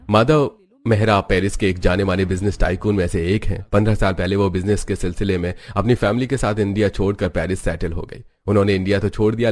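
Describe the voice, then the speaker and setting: A man's voice: fairly low pitch, a little mellow. A man narrating a scripted family cartoon story. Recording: background music under the voice.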